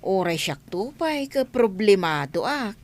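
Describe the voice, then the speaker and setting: A woman's voice: medium pitch, very animated, unhurried, no room sound. A woman playing a carefree, talkative and sassy villager in a comedy skit.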